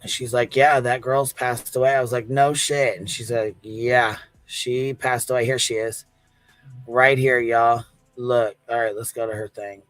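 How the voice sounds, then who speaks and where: Man, American accent, even monotone delivery, casual livestream storytelling, slangy and sweary, roomy echo, faint music behind. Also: medium pitch, a little slow. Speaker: livestream host and commentator.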